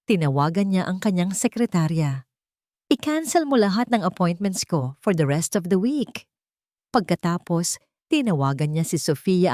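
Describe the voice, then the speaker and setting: A woman's voice: lowish voice, a little mellow, dry recording, clean studio sound. A woman reading a scripted romance story as narrator in a dramatic storytelling style.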